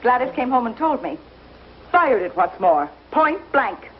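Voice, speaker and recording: Indignant frontier mother, fussy and accusing, highish swooping voice, old TV western sound. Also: sing-song, a little slow. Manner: accusing, emphatic. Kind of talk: 1950s television western acting. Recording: noisy muffled 1950s television soundtrack.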